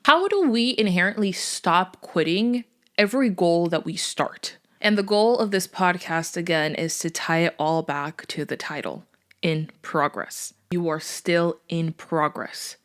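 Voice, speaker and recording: A woman's voice: on the low side, measured pace. Chatty young woman, faith podcast host. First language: Portuguese. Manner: earnest, chatty, with fillers and small laughs. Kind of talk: faith-based motivational podcast talk.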